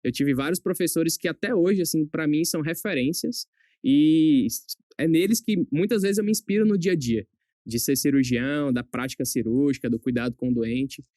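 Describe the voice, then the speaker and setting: A young adult man from northeastern Brazil, a surgery resident speaking as a guest in a casual podcast chat, relaxed and quick to laugh. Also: a little high, no room sound, studio quality. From Brazil, born in Salvador, raised in Aracaju. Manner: relaxed, chatty, laughs easily.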